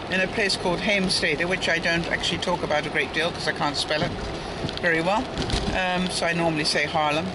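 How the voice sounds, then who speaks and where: A woman, older, talking to viewers in a casual vlog while outdoors by a street in light rain, rambling along with asides and little chuckles. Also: on the low side. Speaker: daily vlogger. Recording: noisy outdoor street with passing cars. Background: traffic and street ambience.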